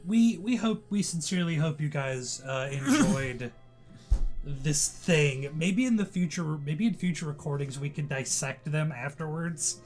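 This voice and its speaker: A man's voice: a little high, lively intonation, measured pace, a little mellow, faint music behind. A man co-hosting a comedy podcast, dropping dry, sarcastic quips. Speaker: podcast co-host. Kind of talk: casual comedic banter.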